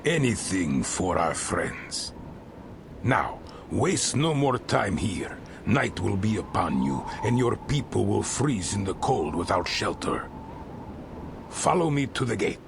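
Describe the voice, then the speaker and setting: Hospitable dwarf monarch, very low gravelly voice, slow, emphatic, game cutscene. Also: male voice, big pitch swings, noisy background, full character voice. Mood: friendly, brisk. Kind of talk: video game cutscene acting. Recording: loud music behind. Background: loud music.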